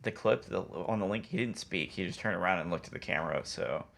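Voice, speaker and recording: Man, lowish voice, casual conversational podcast delivery. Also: fast talker, gravelly, a bit bright. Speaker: podcast co-host. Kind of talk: casual chat.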